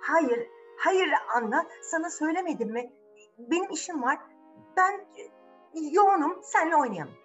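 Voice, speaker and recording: An anxious elder princess, played by a woman storyteller, guarded and insistent. Her storybook voice is raised in pitch and full of worried swings, over faint music. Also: animated, moderate pace, fairly bright tone. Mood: firm, evasive. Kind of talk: children's fairy-tale storytelling.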